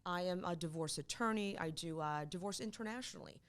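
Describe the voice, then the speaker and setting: Animated woman from Poland. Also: fairly low pitch, brisk. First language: Polish. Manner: animated, emphatic, repeats words when excited. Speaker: divorce attorney, guest. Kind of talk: conversational interview.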